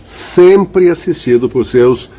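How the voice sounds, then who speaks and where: A man's voice: on the high side, lively intonation, takes it slowly, dark tone, muffled recording. An actor playing an attentive, courteous male spirit pupil in a radio drama, formal and earnest.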